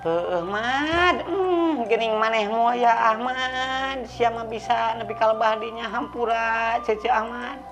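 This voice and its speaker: Man acting haughty older woman creditor, Sundanese accent, high pitch, blunt scolding, storytelling comedy, music behind. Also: takes it slowly, few pauses, a bit bright, heavily acted. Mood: grudging, apologetic. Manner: scolding, blunt, coarse-worded. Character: haughty, sharp-tongued older woman creditor dunning debtors.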